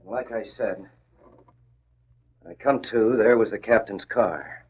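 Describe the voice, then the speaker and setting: Low, husky wisecracking newspaperman. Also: slow pace. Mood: rueful. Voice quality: streetwise, slangy diction. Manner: sardonic, colloquial. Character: wisecracking, grumbling working-class male newspaper employee. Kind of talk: 1940s radio acting. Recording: muffled vintage radio recording.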